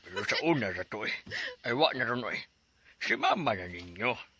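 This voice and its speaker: A man's voice: medium pitch, wildly swooping pitch, normal speed, sharp tone, slightly rough, no echo, exaggerated voice. An actor playing a joking middle-aged father who teases and reminisces in a radio drama. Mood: teasing. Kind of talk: Cebuano radio drama acting.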